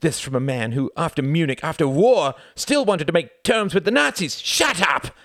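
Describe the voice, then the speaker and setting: A man's voice: on the low side, very animated, fairly quick, dry recording, studio quality, voice pushed up. A man voicing a bitter, irritable upper-class grown son in a storybook narration, sneering, sarcastic and melodramatic. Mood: angry.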